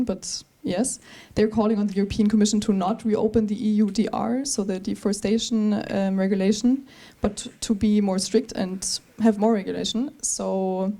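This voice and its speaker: A woman's voice: mid-range voice, mellow. A woman from Austria, asking a clarification question in an articulate, direct way.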